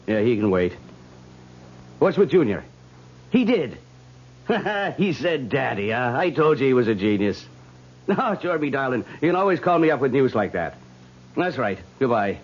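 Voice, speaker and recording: An actor playing a wisecracking, weary but good-natured American police sergeant in an old radio drama. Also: male voice, medium pitch, slight background noise, lightly acted. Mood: delighted. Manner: wry, chummy, teasing banter. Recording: dull lo-fi old radio recording.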